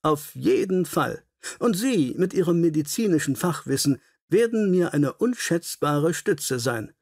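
A man voicing a keen, courteous, self-assured Victorian detective in an audiobook, formal, brisk and inquisitive. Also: on the high side, animated, a little mellow, no room sound, clean studio sound. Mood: pleased, curious.

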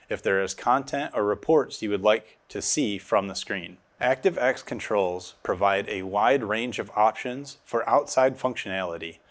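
A man in his thirties giving scripted instructional narration as a technical specialist, recorded on a lapel mic in a studio. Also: lowish voice. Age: 30s. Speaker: automation specialist presenting a tutorial.

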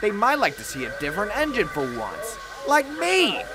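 Conceited male steam engine acted by a man, raised pitch, animated, self-important storybook delivery. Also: moderate pace, noisy background. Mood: smug. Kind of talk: children's storybook character voice. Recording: music behind the voice.

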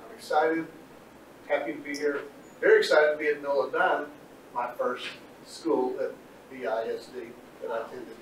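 A middle-aged man, a newly hired safety officer, saying a few pleased words in a meeting room. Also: fairly even intonation. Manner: brief, pleased, slow. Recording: distant, echoey, faint rumble.

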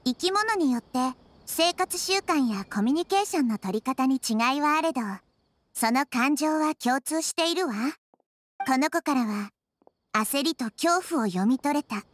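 Casting: small wise goddess for an anime game, high girlish register, composed, soft-spoken, reflective, faint ambience behind. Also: lively intonation, a little hiss or hum. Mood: calm, explaining. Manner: soft, measured, reflective, polite feminine phrasing. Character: gentle, wise child-sized goddess, composed and thoughtful. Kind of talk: Japanese anime game dub.

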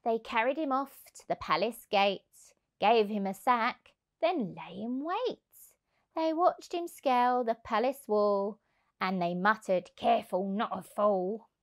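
A woman, around her thirties, reading a children's rhyming storybook aloud in an expressive, rhythmic way. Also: a little high, a little slow. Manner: animated, rhythmic, emphatic delivery. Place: indoors on a sofa. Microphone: clip-on lapel mic.

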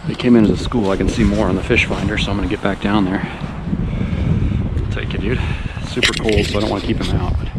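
Excited man ice fishing in loud wind. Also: on the low side, fairly quick. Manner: excited outbursts when fish bite. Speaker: fishing vlogger. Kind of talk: casual running commentary while ice fishing. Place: outdoors on a frozen pond in strong wind. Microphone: body-worn action camera. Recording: loud wind noise on the mic.

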